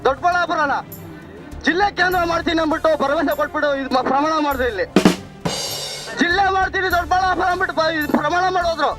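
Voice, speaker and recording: A man's voice: very high-pitched, fast talker, music in the background. A man with a southern Karnataka Kannada accent giving his opinion as a local resident, insistent and repetitive. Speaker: local resident voicing grievances. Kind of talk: spontaneous street opinion.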